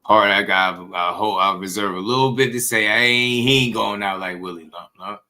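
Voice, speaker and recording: Guy with lowish voice, bright and punchy, slangy emphatic boxing chat. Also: normal speed. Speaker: boxing talk show co-host. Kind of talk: casual sports discussion.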